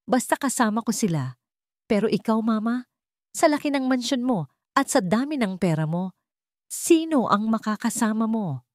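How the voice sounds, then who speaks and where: A woman plays a wealthy son in an audio romance drama, defiant and anguished, with a Filipino accent. His declarations are emphatic and swing widely in pitch. The sound is dry and close. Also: big pitch swings, normal speed, long pauses, a little mellow, no room sound. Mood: defiant, impassioned.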